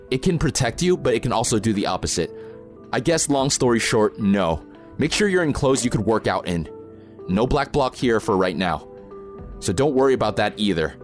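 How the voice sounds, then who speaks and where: A man's voice: medium pitch, natural voice. A man playing an experienced, protective protest organizer in an audio drama, plain-spoken, informal and matter-of-fact. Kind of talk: naturalistic audio drama acting.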